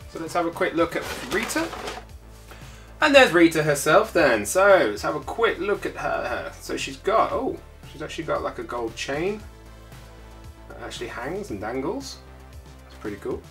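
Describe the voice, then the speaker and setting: A man's voice: a little high, sing-song, normal speed, a bit bright, soft background music. A man with a congested, bunged-up voice, chatting casually as he unboxes a collectible. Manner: chatty, rambling, with frequent filler sounds. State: stuffy, congested from a cold. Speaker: toy collector channel host.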